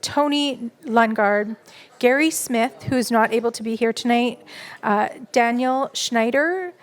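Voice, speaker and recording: A woman moderating a public candidates forum, reading out names and explaining the format in a steady, organized way. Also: fairly high pitch, a little slow. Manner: steady, organized announcing with fillers.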